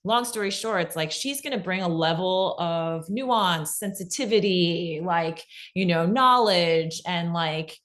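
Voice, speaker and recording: A woman with a fairly deep voice presents to a class on a video call. Her delivery is casual and conversational, with emphatic points and frequent little check-ins. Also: measured pace, hardly any pauses, a bit bright. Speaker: podcast pitching workshop presenter.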